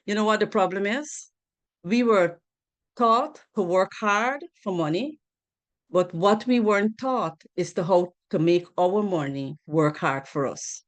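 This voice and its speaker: A woman's voice: mid-range voice, measured pace. A woman from Guyana giving a financial literacy presentation on a group video call, earnest, asking rhetorical questions and repeating key points.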